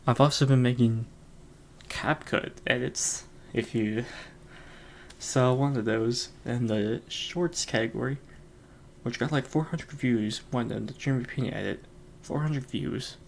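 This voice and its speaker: A man's voice: medium pitch, measured pace, vocal fry, slight background noise. A man hosting his own podcast alone, rambling casually with lots of fillers and frequent chuckles. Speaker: podcast host. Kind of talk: casual solo podcast chat.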